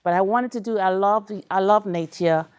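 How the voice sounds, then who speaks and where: A woman's voice: medium pitch, normal speed, darkish tone, clear, resonant tone, highs cut off. Middle-aged woman, Trinidadian accent, speaker at a live event; open, talkative delivery. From Trinidad and Tobago. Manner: chatty, candid, laughs along with the audience. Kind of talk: personal story talk to a live audience.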